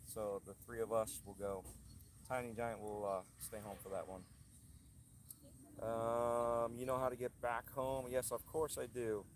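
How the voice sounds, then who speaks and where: A man with a hoarse, lingering-cold voice chats loosely on a live stream, reading viewer comments. He talks outdoors at a haunted attraction, and faint ambient noise sits behind him. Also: mid-range voice, level tone, unhurried. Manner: rambling, chatty, reads out viewer comments. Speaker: channel host. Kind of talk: casual live stream chat. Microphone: handheld camera rig.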